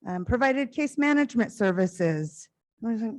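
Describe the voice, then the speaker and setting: Earnest loud-voiced woman speaking at a conference. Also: on the high side, lively intonation. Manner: earnest, heartfelt, talks in quick bursts. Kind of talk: conference keynote address. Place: conference venue stage. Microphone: stage microphone.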